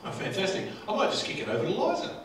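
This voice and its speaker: A man's voice: sits high, big pitch swings, fast, a bit bright. A man hosting a panel discussion, recorded at an indoor event in a very echoey room. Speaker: panel moderator. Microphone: handheld microphone. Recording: very echoey room, distant, faint noise.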